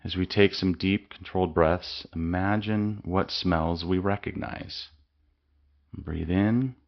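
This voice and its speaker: A man leading a guided mindfulness meditation with slow, calm, soothing instructions and long pauses. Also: deep voice, gravelly, lo-fi sound.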